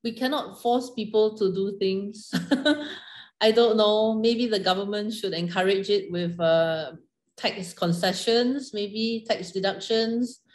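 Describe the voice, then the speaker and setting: A middle-aged woman, answering questions on a video call in a relaxed, unhurried way with many fillers. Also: medium pitch. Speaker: craft organisation founder answering questions.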